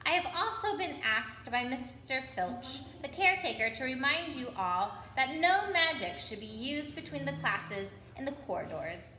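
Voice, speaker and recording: Storybook old wizard headmaster, played by a woman: kindly, eccentric, stately, bright and high. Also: big pitch swings, moderate pace, few pauses, echoey room, heavily acted. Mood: stately announcing. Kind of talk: children's storybook character reading.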